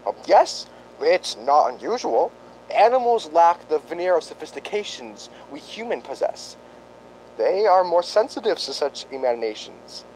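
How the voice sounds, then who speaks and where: A man acts out an earnest doctor who hunts apparitions in a spooky radio play. He speaks formally and gravely, in a somewhat high voice with wide melodic swings. There is a faint rumbling noise behind the pedantic delivery. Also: very animated, measured pace, a little mellow, rough recording. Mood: knowing. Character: solemn, earnest male ghost-hunting doctor, psychically sensitive. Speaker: paranormal investigator. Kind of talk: amateur radio drama acting.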